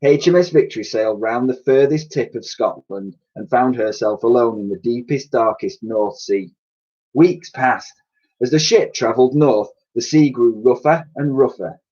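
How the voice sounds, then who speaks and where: A man's voice: mid-range voice, moderate pace, darkish tone. A man reading a children's story aloud with expression, on a dull home recording. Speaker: story reader.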